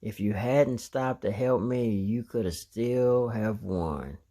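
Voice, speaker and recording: A man's voice: on the low side, slow, warm tone. A man voicing a boastful, playful young male train engine in a children's storybook. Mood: sincere.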